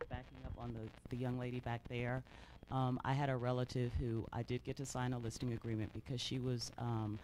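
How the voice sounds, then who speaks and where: A man's voice: mid-range voice, darkish tone, rough recording. Hesitant man speaking up in class, faint noise. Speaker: class attendee, real estate agent. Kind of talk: spontaneous comment from the class.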